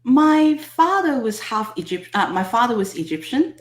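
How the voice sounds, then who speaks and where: A woman in her thirties with a Hong Kong accent, a native Cantonese speaker telling her personal story in a soft, measured way as an interview guest. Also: on the high side, very animated, roomy sound. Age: 30s. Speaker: guest, subconscious healing specialist.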